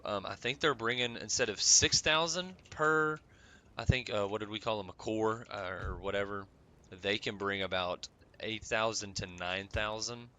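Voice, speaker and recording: A young man with a slightly raspy voice, rambling casually with many ums while commenting on a strategy game. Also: low voice, bright, forceful tone. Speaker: gaming channel host.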